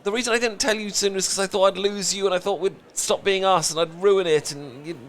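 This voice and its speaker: A man's voice: high voice, steady tone, fast, a bit bright, a little hiss or hum. A man with a British accent playing a flustered, lovestruck best friend in a romantic comedy audio roleplay, stammering and earnest. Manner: stammering, rambling, earnest confession.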